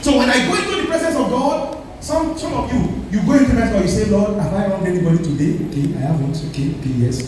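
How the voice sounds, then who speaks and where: High-voiced preaching man in echoey hall. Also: lively intonation, fast, darkish tone, far from the mic, a little hiss or hum. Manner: emphatic preaching with call-and-response. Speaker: pastor. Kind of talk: sermon.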